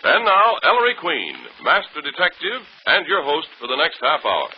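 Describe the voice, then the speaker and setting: Animated 1940s radio announcer, a man. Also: on the high side, normal speed. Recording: muffled, noisy old radio broadcast.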